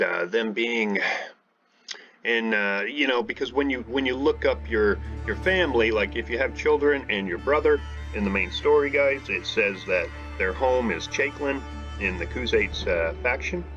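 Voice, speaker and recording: A man's voice: mid-range voice, a bit bright. A man hosting a gaming video, chatting casually and rambling with many fillers, often calling the viewers guys. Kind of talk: casual let's-play commentary. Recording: dull lo-fi audio with faint music.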